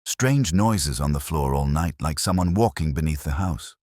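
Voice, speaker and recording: Man performing anxious female housekeeper, fretful, complaining, low husky voice, audiobook mystery. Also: expressive, normal speed, darkish tone, no room sound, studio quality.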